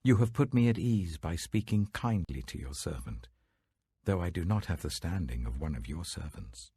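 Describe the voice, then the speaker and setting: A man's voice: low voice, expressive, normal speed, warm tone, slightly rough, full character voice. A British man voicing a devoted, humble young foreign widow in an audiobook scripture story, earnest, pleading and reverent. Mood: humble gratitude.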